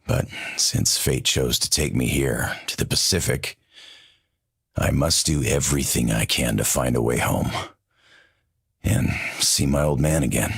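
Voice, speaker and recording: An actor playing a weathered middle-aged American soldier, reflective and determined, narrating his longing for home in a video game monologue with a gravelly, very deep voice. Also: no room sound, studio quality, heavily acted. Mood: reflective, resolute. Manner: reflective, measured.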